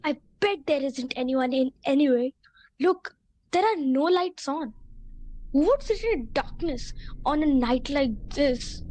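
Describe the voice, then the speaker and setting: A woman's voice: high voice, lively intonation, unhurried. A woman playing a nervous, imaginative young boy in a student radio play, apprehensive and pleading. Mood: uneasy. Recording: loud music over the voice.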